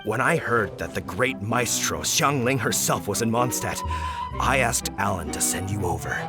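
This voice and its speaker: A man's voice: fairly low pitch, lively intonation, normal speed, close to an everyday voice. A man playing an earnest, pushy village meat promoter in an anime-style video game. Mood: eager, persuasive. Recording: background music behind the voice.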